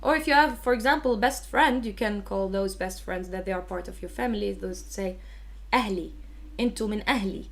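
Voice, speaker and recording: A woman's voice: mid-range voice, moderate pace. A woman with a Levantine Arabic accent, a native Arabic speaker, teaching a live online language lesson in an explanatory, emphatic way.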